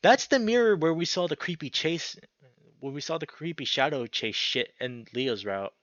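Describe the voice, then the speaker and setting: A man's voice: a little high, expressive, normal speed, fairly bright tone, dry recording, highs cut off. A man reading a visual novel aloud as a streamer, mixing narration with casual, sweary commentary.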